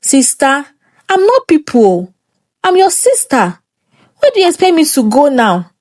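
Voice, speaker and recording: An earnest, wounded younger sister in a folktale, played by a woman narrator with a Nigerian accent. She pleads plaintively in a fairly high, slow voice that rises and falls a lot. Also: wildly swooping pitch, a little mellow, exaggerated voice. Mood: pleading, hurt.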